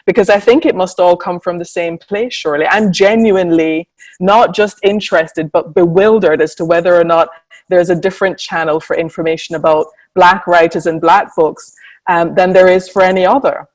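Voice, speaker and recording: Earnest writer, voice a bit distant. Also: female voice, on the low side, steady tone, normal speed, darkish tone. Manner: earnest, thoughtful, speaks in long building sentences. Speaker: writer on a panel. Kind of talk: reflective panel answer.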